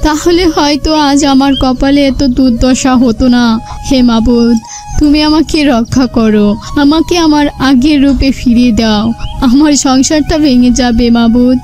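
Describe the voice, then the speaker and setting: A woman acts out a grieving young wife in a cartoon fable. Her voice sits high and sounds plaintive, as she laments the curse on her. Faint music plays behind her. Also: normal speed, warm tone, close to an everyday voice. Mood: sorrowful, pleading. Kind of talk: cartoon character acting.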